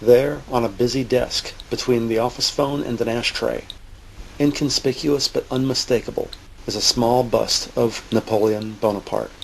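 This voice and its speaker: Gravelly male audio drama narrator. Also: medium pitch, fairly even intonation, moderate pace, slight background noise, low-quality recording.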